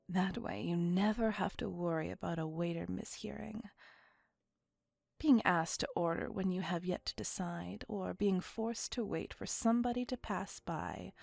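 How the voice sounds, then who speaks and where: A woman plays an ancient, courtly vampire lady in an intimate ASMR roleplay. Her voice is soft, breathy and edged with creak, half whispering. She takes her time over formal, old-world phrasing, refined and quietly possessive. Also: on the low side, no room sound. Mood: charmed, gracious. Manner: formal, archaic, measured phrasing with tag questions. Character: elegant, courtly, possessive centuries-old female vampire on a date.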